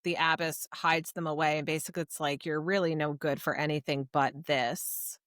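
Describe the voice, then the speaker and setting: A woman's voice: deep voice, fairly even intonation, moderate pace, a bit bright, dry recording, clean studio sound. A woman hosting a podcast in casual, informal chat, speaking with gushing emphasis. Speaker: podcast host. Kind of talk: casual book discussion chat.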